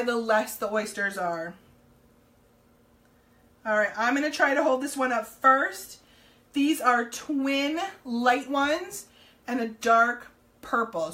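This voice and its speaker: A slightly hoarse woman, chatting upbeat and loose on a home live stream, full of little ums and hellos to viewers. Her voice sits a little high, and the sound is picked up by a camera across the table. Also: a bit bright. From New Jersey, US. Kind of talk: casual live-stream sales chat. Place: home room, indoors.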